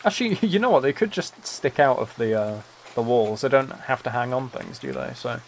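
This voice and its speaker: A soft-spoken man livestreaming, chatting in a relaxed way and musing aloud as he plays a game. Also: medium pitch, normal speed, creaky, slight background noise. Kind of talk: relaxed chat while playing a building game.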